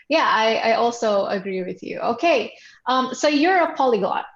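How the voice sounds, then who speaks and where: A woman's voice: fairly high pitch, animated, moderate pace. Indonesian-accented woman host in echoey room. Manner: mixes in Indonesian phrases, asks leading questions. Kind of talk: casual interview. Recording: echoey dull lo-fi recording.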